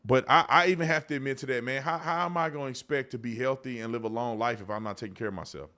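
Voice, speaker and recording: A man's voice: mid-range voice, quick pace, pushed and bright. A middle-aged man hosting a live commentary show, chatting casually and colloquially to viewers and reading out their messages. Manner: conversational, colloquial, addressing viewers directly.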